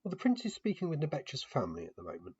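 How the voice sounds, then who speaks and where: Man as young noblewoman, fantasy audio story, friendly, curious, polite, higher-pitched, husky. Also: wildly swooping pitch, very fast, a little mellow, muffled recording, full character voice. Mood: warm, welcoming.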